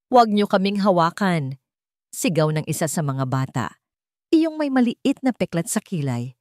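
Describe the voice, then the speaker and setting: A woman's voice: fairly low pitch, darkish tone, no echo, studio quality. A woman narrating a scripted romance drama in a theatrical, suspenseful way. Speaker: story narrator.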